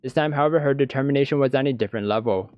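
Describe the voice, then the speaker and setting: A man reading visual novel text aloud as scripted narration, with a deliberate, dramatic storytelling delivery. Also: medium pitch, muffled recording.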